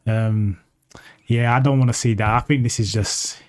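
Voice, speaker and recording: A man's voice: medium pitch, steady tone, moderate pace, no echo, clean studio sound. A man co-hosting a podcast in casual chat, laid-back and opinionated. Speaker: podcast co-host.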